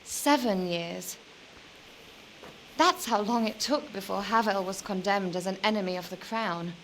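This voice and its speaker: An actress playing a weary, lonely young medieval noblewoman in a video game, speaking in a measured, formal and wistful way. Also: medium pitch, a little hiss or hum, close to an everyday voice, poor audio. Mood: sorrowful. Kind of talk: dramatic video game dialogue.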